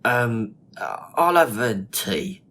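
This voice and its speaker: A man's voice: medium pitch, big pitch swings, takes it slowly, pushed and bright, heavily acted. A man acting a chatty, jittery, squeamish sidekick in an audio drama. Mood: flustered.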